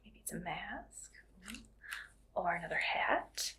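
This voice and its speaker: A woman playing a quirky, friendly art teacher in a soft, whispered ASMR roleplay, speaking in a gentle, unhurried murmur. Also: on the low side, expressive, noisy background. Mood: playful, musing. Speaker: ASMR performer.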